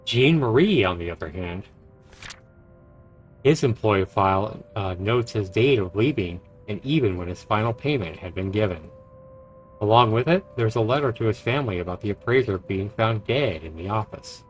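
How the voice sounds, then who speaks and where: Man, low voice, game streamer, story narration read aloud, casual reactions, faint game music behind. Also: expressive, normal speed, low-quality recording. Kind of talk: reading visual novel narration aloud, with reactions.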